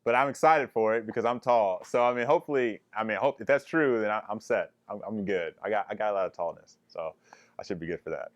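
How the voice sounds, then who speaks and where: A man's voice: on the low side, quick pace, dry recording, dull audio. A young man answering interview questions in a jokey way, often repeating his words. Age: young adult. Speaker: college basketball player.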